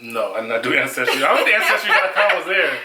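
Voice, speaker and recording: A man's voice: mid-range voice, sing-song, fast talker, noisy background. Laid-back, teasing young man. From United States. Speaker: husband and guest. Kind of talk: casual chat. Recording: echoey room.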